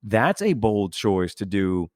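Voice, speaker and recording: A man's voice: fairly low pitch, very animated, slow, darkish tone, no room sound, clean studio sound. A man hosting a casual conversational podcast interview in an effusive, emphatic, rambling way. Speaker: podcast host.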